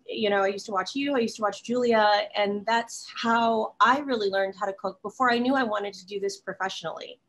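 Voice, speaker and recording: A woman's voice: mid-range voice, poor audio. A young woman hosts a casual interview over a video call from her kitchen. She sounds admiring and fills her speech with ums. Her questions are loose and chatty. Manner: warm, admiring, many fillers. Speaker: chef host. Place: home kitchen.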